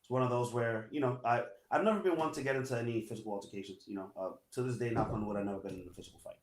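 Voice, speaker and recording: A man, a Spanish speaker, telling his story casually in an interview and filling pauses with "you know". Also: medium pitch. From New York. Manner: relaxed, many "you know" fillers. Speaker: guest, assistant principal. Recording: echoey room.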